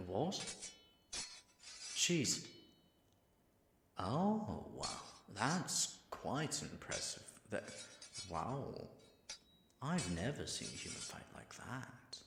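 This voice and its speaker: A man playing a proud, flustered dragon in human form, secretly smitten with a knight, in a theatrical audio drama full of halting, muttered asides. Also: lowish voice, creaky, pushed and bright, echoey room, a little hiss or hum, heavily acted. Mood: awed, smitten. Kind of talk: dramatic audio roleplay monologue.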